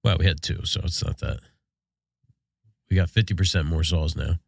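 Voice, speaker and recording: A man's voice: rumbling low, fairly even intonation, normal speed, vocal fry, no echo, studio quality. An American man co-hosting a podcast in casual, laid-back shop talk, sounding congested from a sinus infection. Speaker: podcast co-host.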